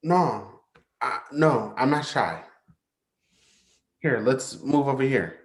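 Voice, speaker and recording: Halting, insecure young-lover type, swinging pitch man acting. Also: animated. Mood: gently taking charge. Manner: halting, self-interrupting. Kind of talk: staged play reading, naturalistic dialogue.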